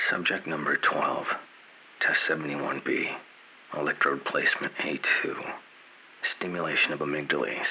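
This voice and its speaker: A tormented, vengeful scientist villain for a horror game. The voice is very deep yet sharply forceful, measured and breathy, dripping with arrogance. A faint hiss sits behind it. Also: male voice, heavily acted, poor audio. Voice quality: low, breathy, slipping toward whisper. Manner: deliberate, clinical, contemptuous. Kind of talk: video game villain acting.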